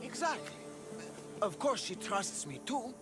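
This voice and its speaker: Jealous lover for a video game: high, very animated, haughty and sneering, music behind. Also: male voice, measured pace, full character voice. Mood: smug, jealous. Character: jealous, lovesick young man giving mercenary orders. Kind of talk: video game dialogue acting.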